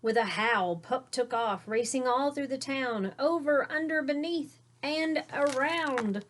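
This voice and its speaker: A woman's voice: high voice, slow, slight background noise. A woman reading a children's picture book aloud like a teacher, expressively, with simple explanations for young listeners. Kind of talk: storybook read-aloud with explanatory asides.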